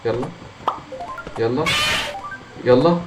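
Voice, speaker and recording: A hoarse man with an Egyptian Arabic accent, commenting on his own gameplay, muttering encouragement to himself and breaking into frustrated outbursts. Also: mid-range voice, takes it slowly, muffled recording, over music. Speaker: gamer. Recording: echoey room with faint noise.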